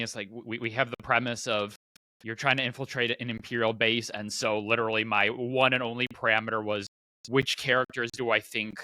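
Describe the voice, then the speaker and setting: A man co-hosting a podcast in casual chat, explaining things haltingly and repeating his words as he goes. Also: medium pitch, pushed and bright, dry recording, poor audio. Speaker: podcast host.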